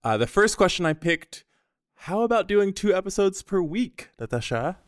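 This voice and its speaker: An American man hosting a podcast in easy casual chat, upbeat and quick to laugh. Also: high voice, very animated, dry recording, clean studio sound. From United States. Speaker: podcast host.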